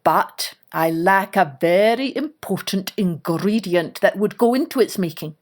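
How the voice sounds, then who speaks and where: A woman voicing a wise old male hermit in a storybook tale, speaking in a deliberate, mysterious way. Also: mid-range voice, expressive. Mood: cryptic, measured.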